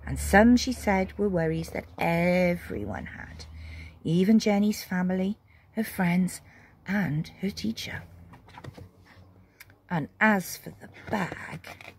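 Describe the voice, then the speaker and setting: Woman storyteller reading a kids book, super animated pitch, dramatic pauses, slight background noise. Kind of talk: expressive children's story reading.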